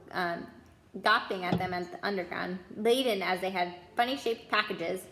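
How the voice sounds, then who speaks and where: A woman storyteller reading a children's storybook aloud in expressive narration. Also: medium pitch, roomy sound, rough recording.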